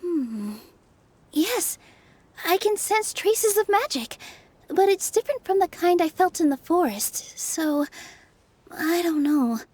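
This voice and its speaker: A woman playing a gentle, polite, thoughtful young woman in a video game, soft-spoken and earnest. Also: very high voice, expressive, takes it slowly, no room sound, full character voice. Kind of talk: video game character acting.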